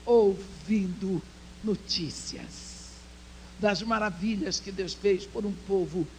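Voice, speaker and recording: A woman whose first language is English, preaching with passion at a women's church conference, swinging between loud proclaiming and hushed storytelling. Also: medium pitch, slow pace, a little hiss or hum, low-quality recording. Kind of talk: sermon.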